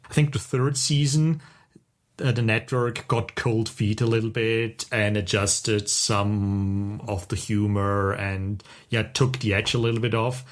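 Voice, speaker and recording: Middle-aged male podcast co-host, mid-range voice, informal and keen, feeling his way through sentences. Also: medium pitch. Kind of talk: casual chat about TV shows.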